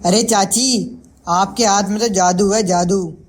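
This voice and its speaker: Woman doing cheeky sweet little kid in a cartoon story, mid pitch. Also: fairly even intonation, takes it slowly. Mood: flattering, eager. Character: cheeky, affectionate young child who adores auntie. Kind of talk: Hindi animated moral story dialogue.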